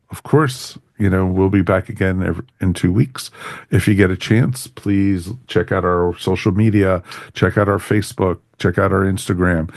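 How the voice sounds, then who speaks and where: A man with a distinctly low voice hosts an informal podcast. He chats casually through plugs and catchphrases. The sound is close and dry. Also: no room sound. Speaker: podcast host.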